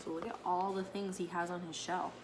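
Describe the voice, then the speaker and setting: A woman reading a children's story aloud in an expressive, teacherly way, with asides to her listeners. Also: lowish voice, fairly quick, noisy background.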